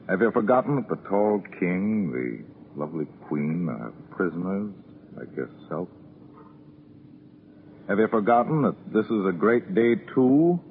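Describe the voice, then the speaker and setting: An actor playing a stern, solemn narrator who addresses a doomed prisoner in a 1940s radio drama. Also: male voice, low-pitched. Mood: bitter, taunting. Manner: solemn, dramatic, rhetorical delivery. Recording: tinny, noisy 1940s radio broadcast.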